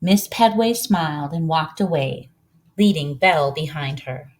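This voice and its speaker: A woman reads a children's storybook aloud as a volunteer narrator, with expressive delivery. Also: deep voice, measured pace, poor audio.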